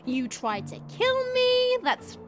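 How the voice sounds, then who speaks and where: A woman's voice: extremely high pitch, very animated, takes it slowly, soft background music, full character voice. A woman playing a smirking, sarcastic monster woman who chats and mocks in an audio drama. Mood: teasing, nonchalant. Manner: sarcastic, mocking, chatty. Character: smirking, teasing monster woman, short and muscular.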